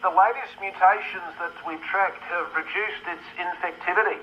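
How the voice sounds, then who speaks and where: An actor playing an Australian male researcher talking gravely over shortwave radio in a radio drama. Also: sits high, steady tone, normal speed, bright, forceful tone, lo-fi sound. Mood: grave, informative. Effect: shortwave radio filter. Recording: band-limited, noisy shortwave radio sound.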